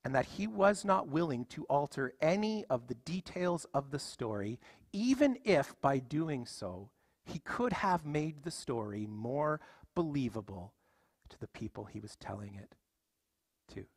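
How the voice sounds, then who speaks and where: A man's voice: mid-range voice, sing-song, measured pace, dry recording. A man in his thirties preaching a sermon from a church stage, emphatic and full of rhetorical questions. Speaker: pastor. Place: church stage with music stands.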